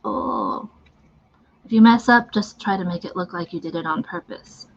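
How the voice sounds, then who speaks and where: A woman's voice: fairly low pitch, moderate pace. A woman giving a casual live makeup tutorial, talking through each step. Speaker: mime makeup demonstrator. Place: live online stream from a socially distanced setting. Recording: dull, lo-fi livestream audio.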